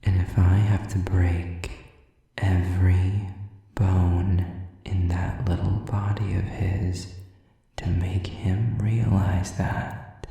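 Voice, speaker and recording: A very dark, velvety voice of a cruel demon, acted out by a man, drips out at a crawl. He whispers with cold, possessive menace, savoring each taunt. It is an intimate roleplay, yet the sound is set back from the mic. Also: sits low, little pitch movement, very echoey, distant mic. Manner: slow, deliberate, breathy whispered menace, taunting. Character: sadistic male demon, cold, menacing, mockingly possessive predator. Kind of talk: intimate audio roleplay acting.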